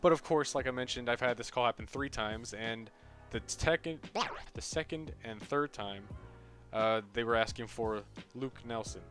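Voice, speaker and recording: A man's voice: mid-range voice, normal speed, a bit bright. A young American man giving a casual, sarcastic, profane comedic rant as a video creator. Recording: voice over background music.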